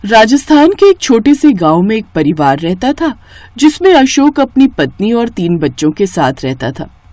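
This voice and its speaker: A woman narrating a scripted moral story in an expressive storytelling manner. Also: a little high, normal speed, no echo.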